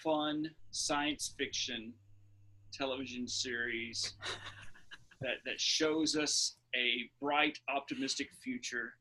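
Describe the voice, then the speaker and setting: A man co-hosting a podcast in casual chat, speaking in a dry, halting way. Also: mid-range voice, steady tone, pushed and bright, low-quality recording. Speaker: podcast co-host.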